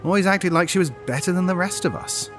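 A man's voice: high-pitched, fast, fairly bright tone, soft background music. An actor playing a supportive, concerned male shop colleague in a naturalistic audio drama, helpful and conciliatory.

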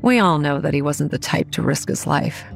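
An actress playing a young campground manager who narrates a horror audio drama in a wry, dry, understated way. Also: female voice, sits low, expressive, normal speed, gravelly, faint music behind. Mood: wry narrating. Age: young adult.

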